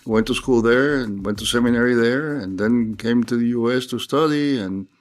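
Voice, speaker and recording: An older man from Cuba speaks as an interview guest, recalling things in a measured, reflective way. He often stops and restarts mid-phrase, and his voice sits at an ordinary middle pitch. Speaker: theologian guest. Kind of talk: unscripted interview talk.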